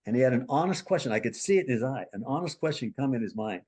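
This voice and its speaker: A man in a middle register tells a counseling story in a podcast conversation. He works as a marriage and family therapist. He acts out the people he quotes with sudden leaps in pitch. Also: very fast, husky. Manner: animated storyteller, acts out quoted voices with big pitch jumps.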